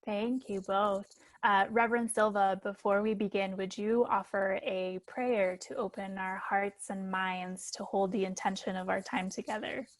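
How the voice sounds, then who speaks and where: A woman's voice: medium pitch, level tone, measured pace, no echo, low-quality recording. A woman speaking as host and moderator, giving a formal introduction to an event.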